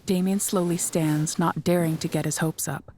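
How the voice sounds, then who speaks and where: A woman's voice: on the low side, fairly even intonation, moderate pace, warm tone, a little hiss or hum. A woman reading scripted third-person narration for an audio drama.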